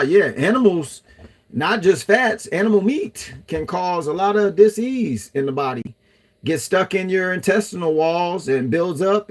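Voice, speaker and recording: Casual male streamer, answering chat in a rambling way. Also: a little high. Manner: chatty, loose, talks to viewers as y'all. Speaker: livestream host reading chat.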